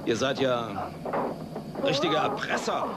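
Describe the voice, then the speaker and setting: Hot tempered rich boss in a radio play, pompous n emphatic, really noisy old recording. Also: male voice, mid-range voice, huge pitch swings, takes it slowly. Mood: indignant. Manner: blustering, emphatic, incredulous. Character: blustering rich property developer and hunter, hot-tempered, condescending. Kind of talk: German children's radio play acting.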